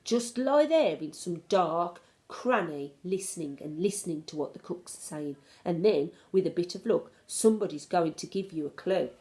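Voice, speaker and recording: A shrewd, doting old grandmother from a children's storybook, acted out by a woman reading aloud. She speaks emphatically, with swooping, animated intonation. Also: fairly low pitch. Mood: cautioning.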